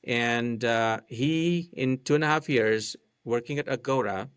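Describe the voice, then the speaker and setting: A man's voice: medium pitch, measured pace, fairly bright tone, smooth, dry recording, studio quality. A man whose first language is not English, a podcast guest explaining a marketing method step by step and often checking in with "right?". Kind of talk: casual explanatory chat.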